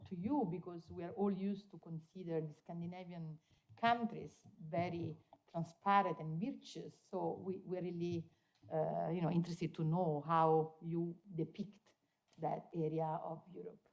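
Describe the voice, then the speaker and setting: A woman's voice: lowish voice, fairly even intonation, normal speed, highs cut off. A woman moderating a conference, introducing speakers with frequent fillers and hesitations.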